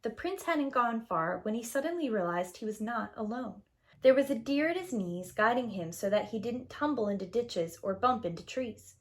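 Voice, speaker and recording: A woman storyteller reading scripted fairy-tale narration to young children with expressive, dramatic phrasing. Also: on the high side, moderate pace. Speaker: storyteller, preschool teacher.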